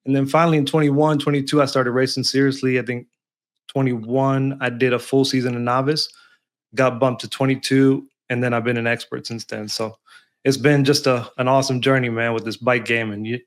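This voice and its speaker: A man with a mid-range voice, telling his story in an easygoing, conversational way as a podcast guest. He keeps a relaxed, friendly flow. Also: medium pitch, fairly quick. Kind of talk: casual podcast chat.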